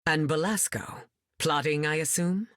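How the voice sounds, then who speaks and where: An actress playing a regal, composed mentor heroine with stately, formal speech in a video game. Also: female voice, low voice, a little slow, fairly bright tone, dry recording, studio quality. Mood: composed, serious. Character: regal, composed weather-wielding mutant mentor woman. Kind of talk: video game character acting.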